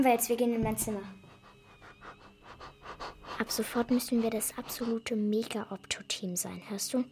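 An actress playing an eager, scheming young girl in a children's radio drama, excitable, insistent and pleading. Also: on the high side. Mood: determined.

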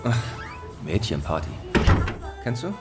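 A man playing an easygoing, hapless, joking father in a radio play, with a husky voice. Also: on the low side, sing-song, a little slow, over loud music. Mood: casual.

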